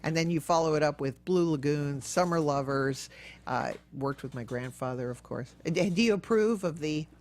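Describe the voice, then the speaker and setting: A woman hosting a casual interview podcast, chatty and excitable, often jumping from one thought to the next. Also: sits low, moderate pace, slight background noise. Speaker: podcast host.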